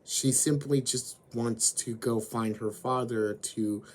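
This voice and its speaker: A man with a hoarse voice, slowly retelling an anime story as host of his own channel. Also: medium pitch, fairly even intonation. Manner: slow, deliberate storytelling with frequent fillers.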